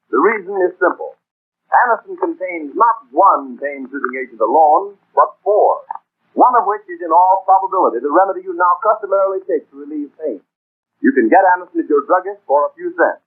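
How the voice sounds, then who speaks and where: A man reading a vintage radio advertisement in a persuasive, measured manner. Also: sits high, expressive, slightly rough. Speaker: commercial announcer. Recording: tinny old radio recording.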